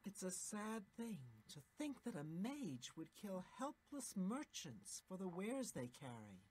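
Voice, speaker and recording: A woman's voice: mid-range voice, big pitch swings, measured pace, a little mellow, slightly rough. A woman voicing a stern female mage guild official in a video game, speaking in a formal, commanding way. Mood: grave. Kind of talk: video game character dialogue.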